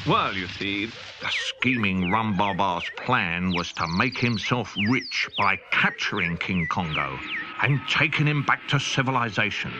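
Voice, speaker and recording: A man's voice: lowish voice, expressive, a little slow, highs cut off, heavy background noise. A man reading a children's audiobook aloud in a playful, comic manner. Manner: playful, comic, spirited delivery. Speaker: storyteller. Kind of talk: scripted children's audiobook narration.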